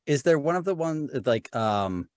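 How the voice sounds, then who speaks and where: A man's voice: fairly high pitch, lively intonation, takes it slowly, dry recording, clean studio sound. A man chatting casually as a player in a tabletop game. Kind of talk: casual chat.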